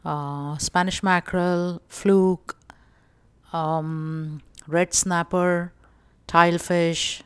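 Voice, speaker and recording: Calm woman vlogger with a low voice. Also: clear, resonant tone, no room sound. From India. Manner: calm, explanatory, frequent uh fillers. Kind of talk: casual shopping walkthrough voice-over.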